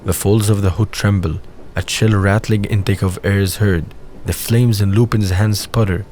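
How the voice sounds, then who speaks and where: A man's voice: on the low side, fairly even intonation, moderate pace. A man reading scripted scene narration for an audio drama. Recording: faint background noise.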